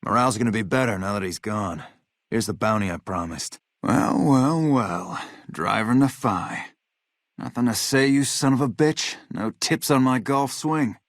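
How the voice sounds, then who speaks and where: An actor playing a hard-bitten, vengeful military officer in a video game, blunt, profane and matter-of-fact. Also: male voice, medium pitch, a little slow, no echo, studio quality, lightly acted. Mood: grimly satisfied. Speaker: game character, army officer.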